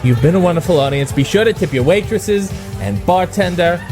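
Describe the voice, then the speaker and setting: A man's voice: high voice, expressive, a little fast, over music. A man playing a showy wrestling personality who holds court with stand-up comic patter. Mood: jokey.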